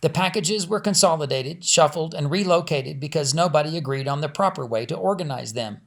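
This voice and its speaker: A man's voice: a little high, brisk, gravelly. A man reading a family story aloud as scripted audiobook narration. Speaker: author reading own audiobook.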